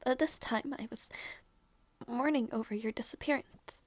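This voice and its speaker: A woman playing a grieving, devoted young princess in a dramatic audio roleplay, halting and emotional, with a breathy, whispery delivery. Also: a little high, no echo, natural voice. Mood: mournful. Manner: halting, repeats phrases, emotional.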